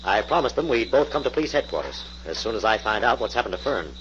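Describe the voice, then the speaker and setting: Brisk assured radio hero, lowish voice, quick talker, old muffled 40s show. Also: male voice, sing-song, a bit bright, a little hiss or hum. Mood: friendly, purposeful. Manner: assured, businesslike. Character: confident, brisk male crime-fighting hero. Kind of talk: 1940s radio drama acting.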